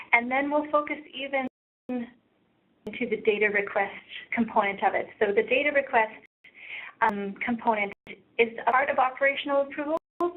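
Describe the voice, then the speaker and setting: A soft-voiced woman with a Canadian accent giving a webinar presentation over a thin conference line. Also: fairly high pitch, phone-quality sound. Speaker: presenter, research practice lead.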